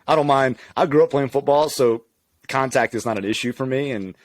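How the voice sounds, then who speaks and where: A man from Louisiana, maybe in his thirties, a physical therapist telling stories in a relaxed, candid podcast interview and laughing easily. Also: medium pitch, fairly quick, no echo. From Louisiana, USA. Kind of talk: casual conversational interview.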